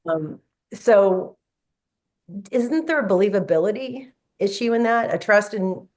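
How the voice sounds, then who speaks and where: A woman's voice: mid-range voice, expressive, a little slow, a little mellow. A woman speaking conversationally as an audience guest putting a question on a talk show.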